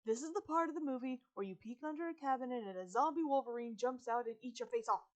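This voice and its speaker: A woman's voice: on the high side, slow, darkish tone. A woman voicing an anxious, sarcastic teenage boy who blurts out nervous wisecracks in an audiobook. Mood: incredulous hissing. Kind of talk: audiobook character voice.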